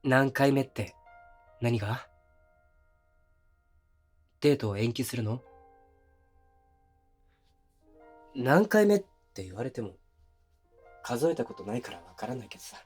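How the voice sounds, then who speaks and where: A man acting as a considerate but oblivious young boyfriend in an intimate audio drama, talking casually and softly with pauses. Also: mid-range voice, animated, husky, soft background music. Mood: puzzled, defensive. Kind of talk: intimate situation-voice audio drama, naturalistic one-sided dialogue.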